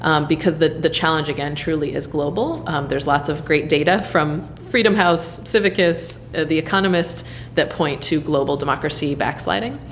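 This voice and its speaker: An American woman answering interview questions in a thoughtful, conversational way, often pausing with ums and you-knows as she speaks as a nonprofit leader. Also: deep voice, slight background noise. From United States.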